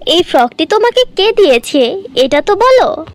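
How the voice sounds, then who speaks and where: A woman voicing a jealous, nosy young girl in a cartoon, loud and prying. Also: extremely high pitch, big pitch swings, moderate pace, no echo. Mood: surprised prying.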